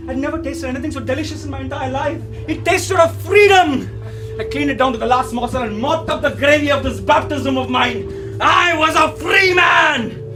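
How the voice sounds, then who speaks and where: Man acting a high-voiced, theatrical young Indian man. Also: fairly quick, far from the mic, music in the background. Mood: defiant, then exultant. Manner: theatrical, declamatory, swinging from hushed to shouting. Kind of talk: dramatic monologue storytelling.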